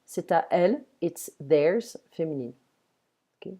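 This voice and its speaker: A woman teaching grammar in English, patiently modelling each word slowly. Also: low voice, expressive, mellow. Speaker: French tutor. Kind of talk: one-on-one language lesson, explaining grammar.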